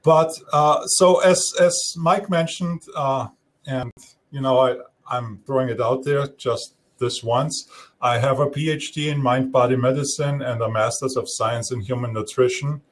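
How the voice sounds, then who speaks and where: A man's voice: mid-range voice, fairly even intonation. Austrian-accented man explaining steadily. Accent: Austrian German. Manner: explanatory, measured, some restarts. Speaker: guest speaker. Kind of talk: live-streamed talk.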